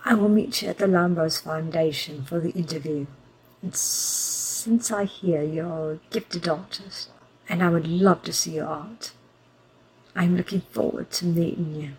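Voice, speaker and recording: An actress playing an elderly grandmother and former resistance fighter, reading out her own letter with steady conviction. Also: on the low side, measured pace, husky, poor audio. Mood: warm. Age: older. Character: elderly grandmother, war veteran, resolute. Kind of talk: audio drama acting.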